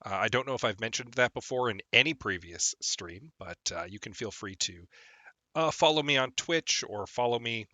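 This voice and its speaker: A man's voice: mid-range voice, expressive, moderate pace, bright, forceful tone, dry recording. A young man chatting casually while streaming a game, rambling with lots of ums, a bit phlegmy from a lingering cold. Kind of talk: casual gameplay stream chat.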